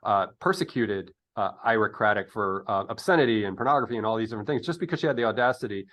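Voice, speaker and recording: A man hosting an interview in casual conversation, digressive and halting, often correcting himself with a jocular streak. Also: fairly low pitch, expressive, a bit bright. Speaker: podcast interview host. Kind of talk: casual interview chat with rambling history asides.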